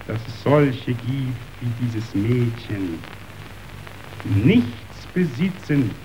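An actor playing a cynical, brooding meat magnate in a declaimed radio drama. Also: male voice, mid-range voice, background noise. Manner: declamatory, deliberate.

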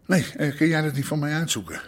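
An actor playing a middle-aged Amsterdam underworld boss in a radio play, with an Amsterdam accent, hesitant and full of filler sounds but bossy. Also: male voice, fairly high pitch, quick pace, husky. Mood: scheming.

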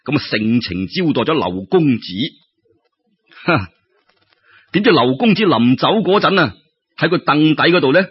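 Animated Cantonese storyteller, husky male voice. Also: a little high, normal speed, pauses often. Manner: colloquial, expressive, uses exclamations and rhetorical questions. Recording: dull lo-fi audio.

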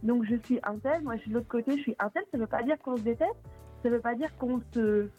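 A woman on a radio debate show, sharing a thoughtful view at length and pausing often to find her words. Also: a little high, husky, dull audio, soft background music. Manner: reflective, thinking aloud with hesitations. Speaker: debate participant.

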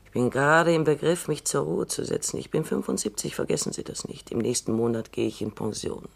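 A woman in her seventies playing a shrewd retiring robot psychologist in a radio drama, measured and reflective, sometimes dropping to near whispers. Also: extremely low pitch, lively intonation, creaky, full character voice. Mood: wry. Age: 70s. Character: shrewd elderly female robot scientist, dryly wry.

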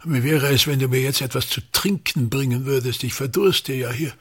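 An actor playing a pompous, bitter, tyrannical ageing actor-manager in a radio play, declaiming and haranguing with a husky voice. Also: male voice, medium pitch, moderate pace, a bit bright. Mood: aggrieved. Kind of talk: theatrical radio play monologue.

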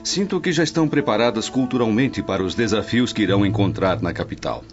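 A man's voice: lowish voice, quick pace, close to an everyday voice. An actor playing a dignified, fond Roman father and statesman in a formal, stately manner. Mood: fond, assured. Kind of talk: radio drama acting. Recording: dull lo-fi audio under music.

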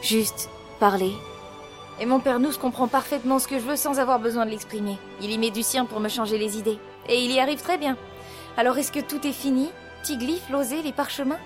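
A woman playing a high-voiced brave young heroine. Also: steady tone, a little fast, music in the background, close to an everyday voice. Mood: reflective. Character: brave, affectionate young heroine and sister, dramatic narrator. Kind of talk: audio drama acting and narration.

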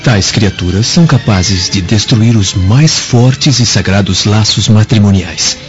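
Guy acting sly cynical roman schemer, lowish voice, formal courtly talk, radio drama, muffled w/ music. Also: measured pace, natural voice. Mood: insinuating, courtly. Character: cynical, insinuating ancient Roman-era man, smoothly scheming. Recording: dull lo-fi audio with music behind.

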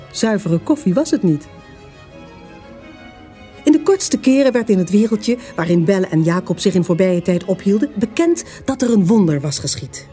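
A woman narrating a radio play in a measured, formal storytelling manner. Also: medium pitch, warm tone.

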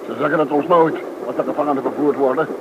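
A man's voice: a little high, steady tone, fast, close to an everyday voice. An actor playing a plain-spoken man in a tense thriller radio play. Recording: muffled, very noisy old radio recording.

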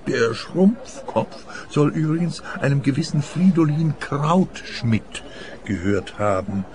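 A man's voice: a little high, animated, slow pace, a little mellow, some chatter behind. An actor playing a genteel, frail man in his seventies, chatty and superstitious, in radio play dialogue. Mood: solemn, earnest. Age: 70s. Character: contented, frail seventy-year-old pensioner, superstitious and plaintive.

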